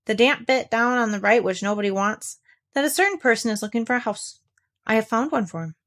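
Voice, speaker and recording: A woman's voice: on the high side, lively intonation, brisk, a bit bright. A woman reader puts on a gloomy male donkey from a children's story. He speaks formally and with disdain, holding himself above the company, aggrieved yet pleased with himself. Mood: aloof. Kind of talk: children's storybook character reading.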